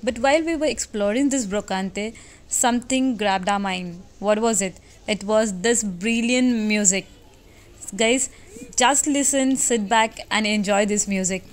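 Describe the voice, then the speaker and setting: A woman's voice: medium pitch, measured pace. Woman doing a travel vlog voice-over, grew up in india, chatty with lots of uhs. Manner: chatty, hesitant with fillers. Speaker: travel vlogger. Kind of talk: voice-over narration.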